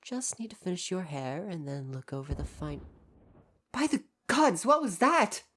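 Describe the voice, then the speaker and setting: A woman as an expressive, formal royal butler. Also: lowish voice, measured pace, a little hiss or hum. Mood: tender, reassuring, then alarmed, urgent. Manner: formal, deferential, precise wording. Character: devoted, formal, parental royal butler, gently fussing. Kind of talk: ASMR roleplay.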